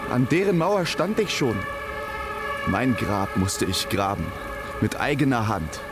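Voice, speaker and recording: An actor playing a bitter, defiant deserter who refuses to kill, in a stage drama. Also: male voice, medium pitch, sing-song, measured pace. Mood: bitter defiance. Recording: loud music and noise underneath.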